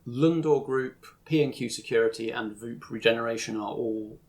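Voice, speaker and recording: A man's voice: medium pitch, normal speed, a little mellow. A man narrating a tabletop roleplaying game as game master, explaining things in an informal way.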